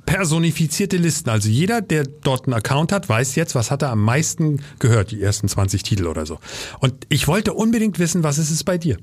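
A man's voice: on the high side, very animated, a little fast, a little mellow, breathy edge. A man hosting a podcast, speaking in a conversational moderating style. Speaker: podcast host.

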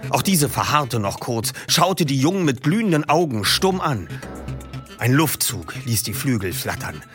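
A man's voice: medium pitch, sing-song, measured pace, music in the background. A man reading a book passage aloud as narrator, telling the story expressively.

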